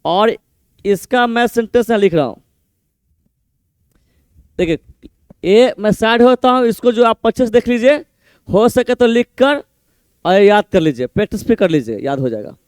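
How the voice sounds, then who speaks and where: A male teacher, explaining idioms for an exam and repeating each meaning slowly as he writes. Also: high-pitched, animated, darkish tone, smooth, no echo. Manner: mixes Hindi explanation with English example sentences. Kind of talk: exam-prep idiom lesson, writing on a board.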